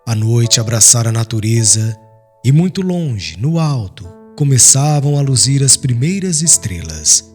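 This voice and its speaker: A Brazilian man narrates an audiobook in a solemn literary style. His voice sits at a medium pitch, with faint music underneath. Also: very animated, unhurried. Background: soft music.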